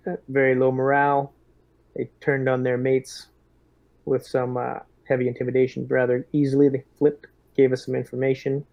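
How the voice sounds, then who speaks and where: A man in a tabletop roleplaying game, speaking as a lieutenant in deliberate, hesitant military talk. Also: mid-range voice, creaky, darkish tone.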